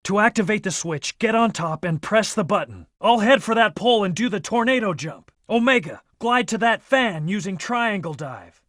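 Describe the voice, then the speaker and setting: A man's voice: high voice, expressive, moderate pace, few pauses, a bit bright, no room sound, studio quality. A man playing a brooding, proud young antihero in a video game, speaking in terse, serious commands with a hard edge. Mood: urgent, alert. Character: brooding, proud, amnesiac male antihero warrior. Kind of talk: short in-game hint and battle lines.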